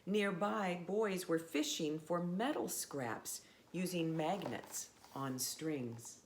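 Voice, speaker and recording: A woman reading a children's storybook aloud in a measured, expressive way with pauses. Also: fairly low pitch, a little hiss or hum.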